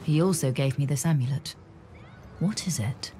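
A woman's voice: low-pitched, moderate pace, warm tone, soft background music, close to an everyday voice. A woman playing a plain-spoken adventurer in a video game, asking for guidance. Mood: curious. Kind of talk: video game character dialogue.

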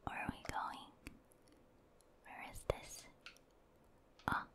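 A woman whispering casual, slangy ASMR commentary as she plays a video game, reacting softly and chatting to viewers. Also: slow. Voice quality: soft unvoiced whisper. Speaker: streamer, gaming ASMR creator.

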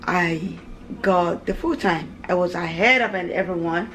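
A woman's voice: lowish voice, measured pace, fairly bright tone, a little hiss or hum. A woman in her thirties chatting casually to a camera at arm's length in a home kitchen, repeating words and drifting into asides. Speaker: family vlogger and mother. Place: small home kitchen, indoors. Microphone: camera held at arm's length.

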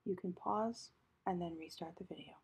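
A woman leading a guided meditation in a calm, gentle, measured manner, with a soft, breathy voice that often trails into a whisper. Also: fairly low pitch.

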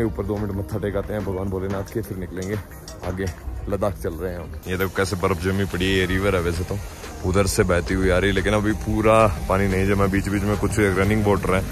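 A man in his thirties, chatty and upbeat, vlogging to his phone held at arm's length on a high mountain roadside. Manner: chatty, upbeat, pointing out sights to viewers. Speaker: travel vlogger. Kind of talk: casual travel vlog to camera.

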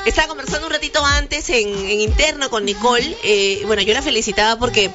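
High-voiced young Peruvian woman hosting radio. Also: a little fast. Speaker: radio host interviewer. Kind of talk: casual chatty radio interview. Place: small radio studio. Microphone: close studio boom mic, headphones. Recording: slightly dull sound, music underneath.

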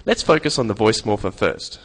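A young man narrating a scripted software tutorial in a casual, playful manner. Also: on the low side, very animated, a little fast. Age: young adult.

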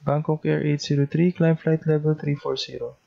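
A man's voice: a little high, little pitch movement, fairly quick, creaky. A man with a Filipino accent speaking in the clipped, procedural style of an air traffic control demonstration, on a dull lo-fi recording.

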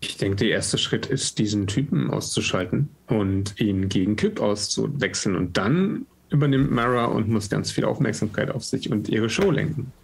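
A man plans moves in a tabletop game in a casual way. His voice is on the low side, husky and full of fry. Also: lively intonation, normal speed, few pauses. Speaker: player.

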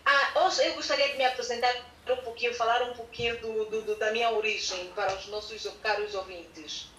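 The woman interpreter at a church address, high-voiced and halting, relaying each phrase into an echoey room. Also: fairly even intonation, fairly bright tone, a little hiss or hum. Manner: halting, searching for words. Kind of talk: consecutive interpreting.

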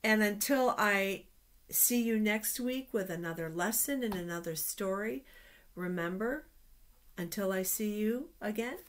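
A middle-aged woman hosting a children's storytime, reading aloud with deliberate, expressive phrasing and pauses. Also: medium pitch. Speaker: children's storytime host at a church. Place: small indoor office.

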